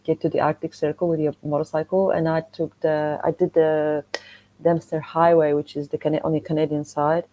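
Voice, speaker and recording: Polish woman, deep-ish mellow voice, chatty podcast guest telling stories casually, kinda animated. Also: a little fast, poor audio. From Poland. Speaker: podcast guest, motorcycle traveller and content creator. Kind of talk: casual conversational storytelling.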